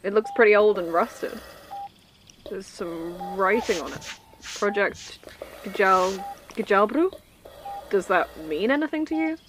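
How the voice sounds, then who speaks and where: A woman's voice: mid-range voice, lively intonation, unhurried, pauses often, noisy background, lightly acted. A woman playing a cool, practical explorer in an audio drama, with a matter-of-fact, inquisitive way of talking.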